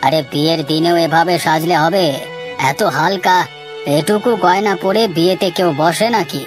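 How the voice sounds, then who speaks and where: A woman's voice: fairly low pitch, fairly even intonation, normal speed, lightly acted. A woman voicing a haughty, scolding older father-in-law in a cartoon family drama. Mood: scornful. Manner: scornful, scolding. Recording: music behind the voice.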